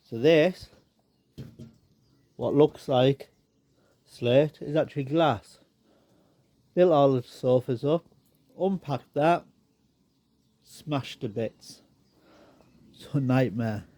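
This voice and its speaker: A man's voice: medium pitch, very slow, long pauses, mellow, dry recording. A man with a bit of a blocked nose, chatting casually and rambling wryly as he walks around his garden. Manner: chatty, rambling, wry asides. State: a bit bunged up. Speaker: garden vlogger. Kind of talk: casual walk-and-talk garden tour. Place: outdoors in a garden. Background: birds and animals.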